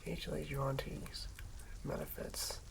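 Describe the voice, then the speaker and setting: A person whispering softly and haltingly in an unhurried ASMR style, as a host talking through and reading tabletop game material. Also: mid-range voice, little pitch movement. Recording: lo-fi whisper with clear background noise.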